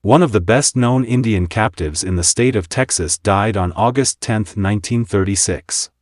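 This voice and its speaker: A man's voice: lowish voice, moderate pace, darkish tone, no echo, studio quality. A man reading scripted history narration in a storytelling style.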